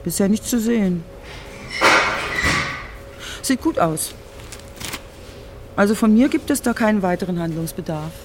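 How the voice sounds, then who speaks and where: An actress playing a brisk, clinical examining doctor in a naturalistic radio drama. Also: female voice, mid-range voice, expressive, background noise, lightly acted.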